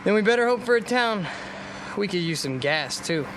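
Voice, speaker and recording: An actor playing a confident, wryly joking young newlywed husband in a radio drama. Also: male voice, high-pitched. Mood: calm, optimistic. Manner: wry, joking, unhurried. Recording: steady car road noise behind.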